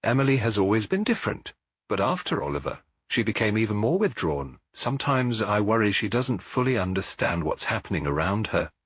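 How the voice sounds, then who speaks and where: A man voicing a shaken, injured mother who speaks in a halting, worried way in a mystery story. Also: lowish voice, very animated, a bit bright, husky, no echo, studio quality, heavily acted. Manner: halting, pained.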